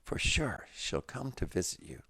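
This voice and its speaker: A man voicing an optimistic woman who gently teases and reassures her friend in a story. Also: on the low side, sing-song, unhurried, breathy edge, dry recording, in a whisper, exaggerated voice. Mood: enthused. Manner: teasing, encouraging. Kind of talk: storybook dialogue reading.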